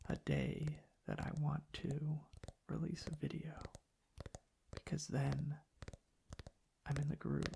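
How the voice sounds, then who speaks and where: A man softly rambling in an ASMR style, hushed and halting, drifting into whispers close to the mic. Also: a little high, flat delivery, gravelly, a little hiss or hum. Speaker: ASMR video creator. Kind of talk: soft-spoken ASMR ramble. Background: tapping and clicking.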